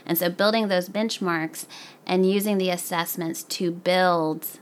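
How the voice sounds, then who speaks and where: Lower-voiced, earnest woman from the American South. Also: steady tone, a little slow. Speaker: professional learning specialist, podcast guest. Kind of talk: conversational interview answers.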